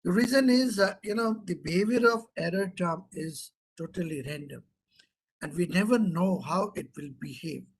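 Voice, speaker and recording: A man's voice: high voice, moderate pace, a little hiss or hum, poor audio. A middle-aged man with an Indian English accent, giving an interactive online lecture, asking questions and repeating key terms for emphasis.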